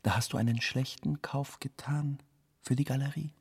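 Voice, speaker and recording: An actor playing a laconic, sceptical lover in a radio play, with a raspy, gravelly voice. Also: male voice, medium pitch, steady tone, normal speed, a little mellow. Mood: cool, laconic.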